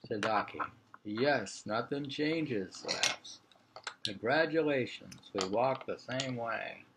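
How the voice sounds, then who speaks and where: A man's voice: mid-range voice, animated, takes it slowly, creaky, noisy background. A man reading an interview aloud in an informal way. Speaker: reader of a printed interview.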